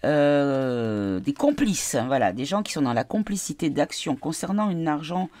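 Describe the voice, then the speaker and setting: A woman giving a casual card reading, tired and congested from a cold, halting as she searches for her words. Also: sits low, big pitch swings, darkish tone. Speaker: card reader.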